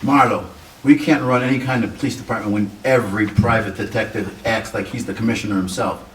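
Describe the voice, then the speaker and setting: An actor playing an exasperated, gruff police lieutenant with dry humour, in 1940s radio drama style. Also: male voice, fairly low pitch, steady tone, a little fast, far from the mic, natural voice. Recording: echoey room, off-mic, faint noise.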